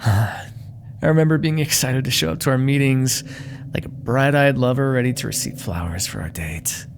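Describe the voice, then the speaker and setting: A man's voice: mid-range voice, normal speed, vocal fry, natural voice. Man acting a suave, menacing demon, crackly-voiced. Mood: indignant, angry. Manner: theatrical, condescending, coaxing, with whispered asides. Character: suave, menacing, proud male demon dealmaker. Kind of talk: dramatic ASMR roleplay.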